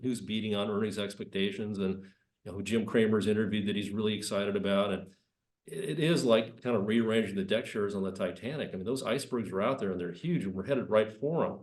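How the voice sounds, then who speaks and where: A man's voice: lowish voice, fast talker, darkish tone, reverberant. A man with a cold giving conversational market commentary as presenter, talking in loose, rambling sentences. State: has a cold. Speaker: investment presenter.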